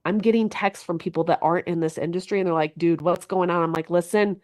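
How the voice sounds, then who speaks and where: A woman's voice: lowish voice, steady tone, fast, darkish tone. A woman with a head cold giving an upbeat, motivational coaching talk to her webinar audience.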